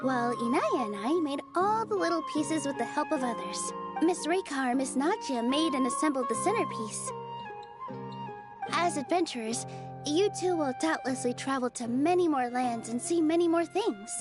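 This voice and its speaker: A young woman voicing a gentle, kind theater dancer who speaks softly and encouragingly. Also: sits high, animated, normal speed. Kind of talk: game voice acting. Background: game music.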